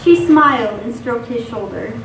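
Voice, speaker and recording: A woman's voice: on the high side, lively intonation, moderate pace. A woman narrating a scripted radio theatre piece on stage. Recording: echoey stage, distant mic, background noise.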